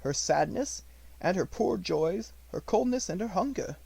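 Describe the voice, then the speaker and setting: A man voicing a devoted, respectful Indian manservant in a storybook, speaking in formal, poetic, measured phrases. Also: sits high, animated, gravelly, darkish tone, breathy edge, no room sound, voice pushed up, heavily acted. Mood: reverent, tender.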